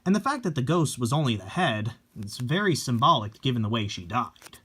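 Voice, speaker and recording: A man playing a measured, skeptical psychologist explaining things pedantically as a TV expert. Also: mid-range voice, very animated, fairly bright tone, slightly rough. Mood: matter-of-fact.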